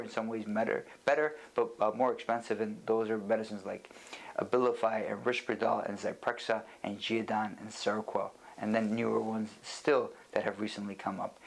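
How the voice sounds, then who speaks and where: A man speaking as a doctor in an unscripted educational lecture, explaining things in plain words with a halting, deliberate delivery. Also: lowish voice, gravelly, low-quality recording.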